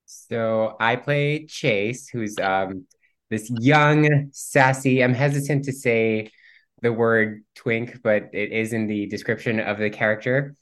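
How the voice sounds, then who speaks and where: A man's voice: medium pitch, fairly even intonation, takes it slowly, a bit bright. A man whose first language is Spanish, chatting casually as a guest actor, playful with many ums and easy laughs. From Cuban heritage. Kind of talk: casual interview chat.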